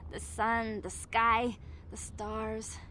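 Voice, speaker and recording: A woman playing a gentle, earnest, sheltered young village woman in a video game. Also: fairly high pitch, monotone, extremely slow, slight background noise, full character voice. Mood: wistful.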